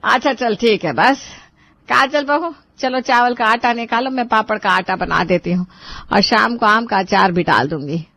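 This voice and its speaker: A woman's voice: on the high side, normal speed, vocal fry, bright, forceful tone, dry recording, exaggerated voice. A woman playing an indulgent middle-aged mother in a family drama, reluctant but giving in. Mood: resigned, indulgent. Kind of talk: Hindi family drama acting for story video.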